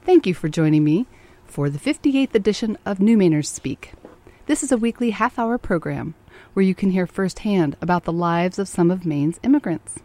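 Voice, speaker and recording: Woman, radio interviewer, mellow relaxed timbre, curious questioning, measured program style. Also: fairly low pitch, dry recording. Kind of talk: interview with scripted introduction.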